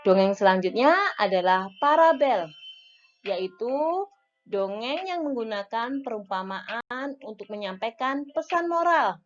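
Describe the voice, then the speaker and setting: A woman with a fairly high voice teaches young pupils, speaking slowly and spelling out each point in turn. The recording is dull and lo-fi, with faint music underneath. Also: expressive. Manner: slow, clear teacherly explaining with list-like enumeration. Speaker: elementary school teacher. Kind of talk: recorded classroom lesson for young children.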